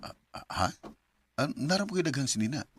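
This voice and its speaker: A man with a Filipino Bisaya accent playing a smooth-talking young boyfriend in a radio drama, with a slightly husky voice. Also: mid-range voice, expressive, normal speed, fairly bright tone. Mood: affectionate, hesitant.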